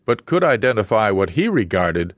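Low-voiced male radio news reporter. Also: no echo, clean studio sound. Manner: measured, even newsreader delivery.

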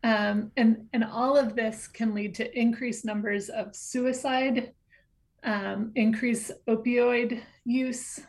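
A woman guest on a radio show, talking about youth services in a hesitant way, with many pauses and restarts. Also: on the high side, fairly even intonation, darkish tone. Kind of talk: radio interview answer.

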